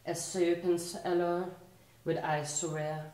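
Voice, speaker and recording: Slow, low-voiced Danish woman reciting poetry. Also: level tone, echoey room. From Denmark. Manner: slow, rhythmic recitation that sinks quieter at line ends. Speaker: poet performing at a live reading. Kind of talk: live poetry reading. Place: art and culture community venue.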